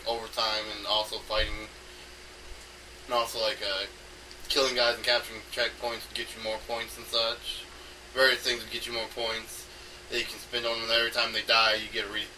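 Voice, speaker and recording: Man, very bright forceful voice, halting unscripted rambling, thinking aloud, faintly noisy home recording. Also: mid-range voice, far from the mic. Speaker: amateur game designer recording an audio log.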